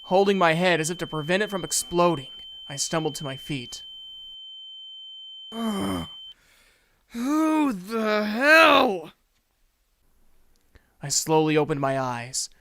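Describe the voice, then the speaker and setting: A man's voice: a little high, a little slow, pauses often, fairly bright tone. A man playing an earnest young male student who narrates his own story in a brooding, first-person audio drama. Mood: pained, indignant. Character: earnest young male student, a beast-folk huntsman in training. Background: background music.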